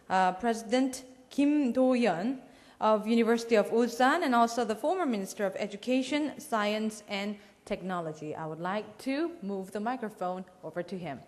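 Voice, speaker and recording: A woman's voice: on the high side, expressive, normal speed, a little mellow. A woman acting as MC at a conference, making polished, scripted announcements with a light joke. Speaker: event MC. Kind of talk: formal announcing. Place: large conference hall. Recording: long hall reverb.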